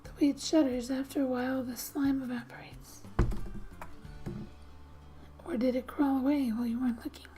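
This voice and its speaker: A woman speaking softly and breathily in gentle ASMR style, often slipping into a whisper as she reads a game story aloud with casual asides. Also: high voice, steady tone, normal speed, pauses often. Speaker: gaming ASMR creator. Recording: quiet background music. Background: soft music.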